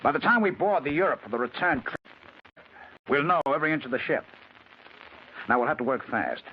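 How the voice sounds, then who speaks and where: Man playing an animated, scheming radio-drama gang leader. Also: fairly high pitch, a little fast, close to an everyday voice. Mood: persuasive, brisk. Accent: American. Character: scheming male gang leader, persuasive and cold-bloodedly confident. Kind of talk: old-time radio drama acting and narration. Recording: muffled old radio recording with heavy noise.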